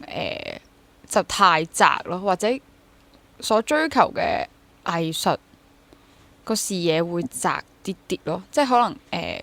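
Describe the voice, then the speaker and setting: A woman in her twenties with a Hong Kong accent, speaking candidly and reflectively in a casual interview, mixing in English words. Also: lowish voice. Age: 20s. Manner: candid, self-questioning, mixes English words into sentences. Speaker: interview guest, freelance theatre actor and drama teacher.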